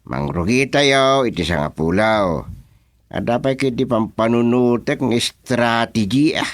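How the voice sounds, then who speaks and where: A man's voice: mid-range voice, measured pace, no room sound, studio quality, lightly acted. A man with an Ilocano accent playing a scheming, persuasive village chairman in a comedy radio drama. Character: scheming village chairman planning a coffin business.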